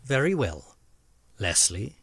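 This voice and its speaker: A man playing a formal, protective butler in an audio drama. His pitch swings very widely as he speaks with measured deference, and the sound is dry and close. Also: sing-song, a bit bright, slightly rough, no room sound, full character voice. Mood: reserved.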